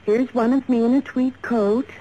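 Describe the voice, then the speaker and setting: A woman's voice: fairly high pitch, steady tone, normal speed, warm tone, lightly acted. An American woman playing an anxious young fashion model under suspicion, earnest and defensive in 1950s radio drama style. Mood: defensive, pleading. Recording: dull, faintly noisy 1950s radio recording.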